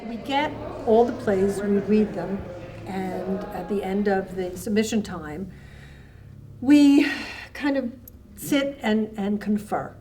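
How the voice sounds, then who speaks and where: A woman's voice: medium pitch, lively intonation, slow pace, distant mic, a crowd in the background. A woman speaking casually and conversationally as a festival organizer, explaining how things are run. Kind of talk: casual interview talk.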